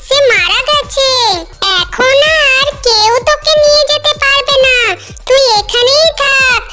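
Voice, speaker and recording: Very high-pitched cunning little cartoon fox. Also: a little slow. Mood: reassuring. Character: cunning fox scheming to farm goats. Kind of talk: animated children's story dialogue. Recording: music behind the voice. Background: children's cartoon music.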